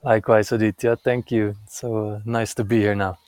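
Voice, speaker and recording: A man's voice: lowish voice, level tone, normal speed, darkish tone, dry recording. A man from the Netherlands, a podcast guest, speaking thoughtfully with some hesitation. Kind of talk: podcast interview.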